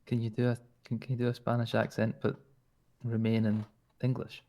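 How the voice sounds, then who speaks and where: A man's voice: medium pitch, monotone, brisk, warm tone. A man with a Scottish accent talking in character during a roleplay, flirtatious and teasing. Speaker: roleplay player.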